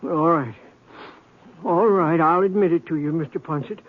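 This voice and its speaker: An actor playing a timid, henpecked older bank teller in a radio western, stammering nervously with a folksy American accent. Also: male voice, fairly high pitch, normal speed, lightly acted. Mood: anxious, confessing. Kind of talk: old-time radio western acting. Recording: tinny, narrow-band old radio broadcast.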